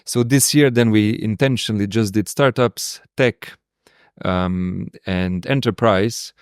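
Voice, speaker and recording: A man from Slovenia chats as a guest on an interview podcast, pausing often and restarting his sentences. His voice sits low and sounds smooth and resonant. The sound is dry and close. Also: dry recording. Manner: hesitant, many fillers and restarts. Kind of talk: casual interview chat.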